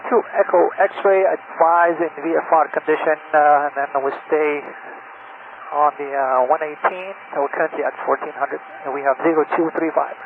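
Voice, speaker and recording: Clear vehicle noise and a dull radio band surround a man's slightly high voice. He speaks as a helicopter pilot, giving clipped readbacks. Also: steady tone, moderate pace. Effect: aviation radio.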